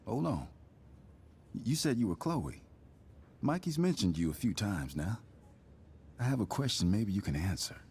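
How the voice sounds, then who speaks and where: A man's voice: lowish voice, sing-song, normal speed, long pauses, a little mellow, slightly rough, exaggerated voice. A man playing a weary, amiable middle-aged father down on his luck in a video game, hesitant and plain-spoken. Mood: worried. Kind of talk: naturalistic video game acting.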